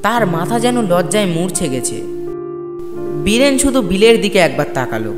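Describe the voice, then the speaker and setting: A man narrating a scripted horror story for an animated tale. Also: high-pitched, moderate pace. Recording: long hall reverb under clear music.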